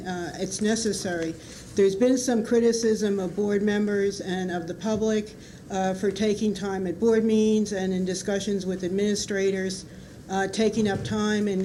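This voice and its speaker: Woman with a cold, mellow dark voice, weak and earnest, public comment, faint hiss. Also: mid-range voice, rough recording. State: has a bad cold, weak voice. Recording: 1990s public-access broadcast with background hiss.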